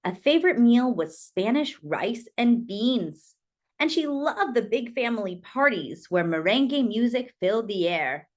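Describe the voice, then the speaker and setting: Animated woman reading a children's story. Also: on the high side. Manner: animated, deliberate storytelling with dramatic emphasis. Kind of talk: expressive read-aloud of a children's picture book.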